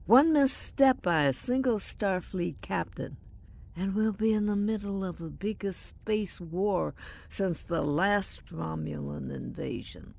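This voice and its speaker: A woman's voice: lowish voice, slow, gravelly, a little mellow, heavily acted. A woman playing an elderly starship captain in a science fiction audio drama, wistful and wry, with a dramatic, emphatic delivery. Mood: indignant.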